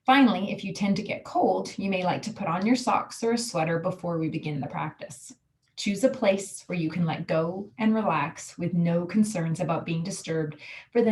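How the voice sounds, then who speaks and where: A woman guiding a relaxation meditation, giving calm, soothing, measured instructions. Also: on the low side, slight background noise. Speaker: yoga nidra guide.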